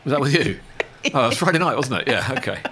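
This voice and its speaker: A man's voice: mid-range voice, very animated, fast, bright, forceful tone, slight background noise. A middle-aged British man in casual banter, talking in a teasing, jokey way.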